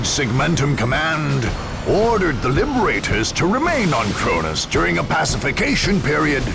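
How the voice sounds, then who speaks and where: A man's voice: medium pitch, vocal fry, noisy background. A man delivering solemn, formal scripted narration for a video game story, in a dramatic storytelling manner.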